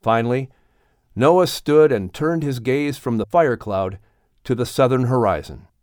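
A man narrating an audiobook story in an expressive, dramatic storytelling manner. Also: medium pitch, no room sound, studio quality.

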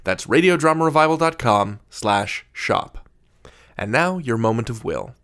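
Man hosting a podcast, medium pitch. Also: lively intonation, measured pace, a bit bright, smooth. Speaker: podcast host. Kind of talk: friendly interview chat and scripted outro.

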